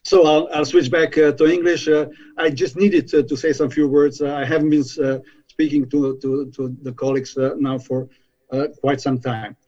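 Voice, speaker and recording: A man with a Slovenian accent, a native Slovenian speaker, opening a conference presentation with many pauses and fillers. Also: fairly high pitch, little pitch movement, darkish tone, highs cut off. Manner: halting, heavy fillers.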